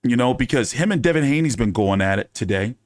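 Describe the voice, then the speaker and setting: A man's voice: medium pitch, lively intonation, quick pace, dry recording. A man hosting a boxing news channel in casual fan chat, talking loosely with cursing and repeating his phrases.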